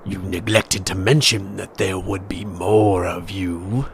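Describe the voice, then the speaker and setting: A man playing a stern talking boulder with formal, deadpan delivery, in a fan audio drama. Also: deep voice, takes it slowly, creaky, a little hiss or hum, heavily acted. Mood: disapproving.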